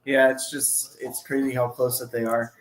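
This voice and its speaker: A man's voice: medium pitch, flat delivery, normal speed, poor audio. A man co-hosting a casual fan stream, reading off game numbers in a matter-of-fact way. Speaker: co-host reading stats. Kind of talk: casual live-stream sports chat.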